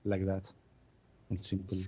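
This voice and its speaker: A man's voice: low-pitched, monotone, measured pace, a little mellow, breathy edge, dull audio. A man from Greece, a guest artist on a podcast, speaking modestly and hesitantly over a video call. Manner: modest, hesitant, lots of fillers. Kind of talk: casual interview.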